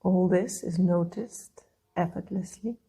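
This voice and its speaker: A woman leading a guided meditation, speaking in a soothing, gentle way with long pauses between phrases. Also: lowish voice, vocal fry, breathy edge, soft background music, poor audio. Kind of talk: guided meditation, calm instruction.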